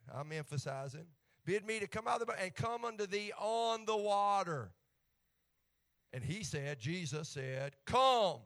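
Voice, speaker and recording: A man's voice: high-pitched, animated, unhurried, fairly bright tone. A man with an Appalachian accent preaching a sermon in a folksy, joking way that rises to fervent emphasis when he reads scripture.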